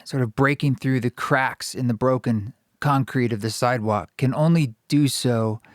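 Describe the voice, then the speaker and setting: Soft-spoken reflective male podcast host. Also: mid-range voice, no room sound, clean studio sound. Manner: thoughtful, searching, many fillers and pauses. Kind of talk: reflective podcast monologue and interview.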